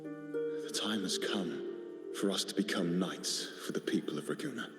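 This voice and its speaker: A man's voice: deep voice, normal speed, creaky. A man voicing an earnest, idealistic poet turned rebel in a video game story, in a solemn, brooding monologue. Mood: solemn resolve. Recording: echoing hall reverb under music.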